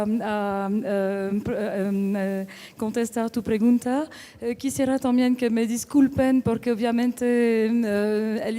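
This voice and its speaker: A woman's voice: on the high side, mellow. A woman with a French accent speaking Spanish as a second language, presenting a book as its editor with frequent hesitations and restarts. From France. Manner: hesitant, many fillers and restarts.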